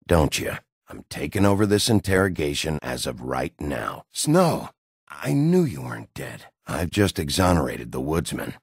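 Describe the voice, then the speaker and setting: An actor playing a gruff, hot-tempered werewolf detective in a video game, a middle-aged man with a gravelly, husky, blunt, intimidating delivery. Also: very deep voice, no echo, studio quality, heavily acted. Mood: guarded, questioning. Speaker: interrogating lawman.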